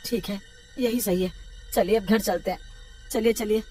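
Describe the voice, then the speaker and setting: A woman's voice: medium pitch, fairly even intonation, normal speed, warm tone, recorded from a distance, soft background music, natural voice. A woman voicing a trusting, grieving male farmer in a children's cartoon story, plaintive and repetitive. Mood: sorrowful.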